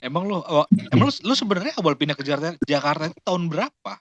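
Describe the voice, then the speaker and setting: An excitable male podcast host with a somewhat high voice. He exclaims and laughs often through the casual chat, in a dry, close recording. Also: fast talker, dry recording, muffled recording.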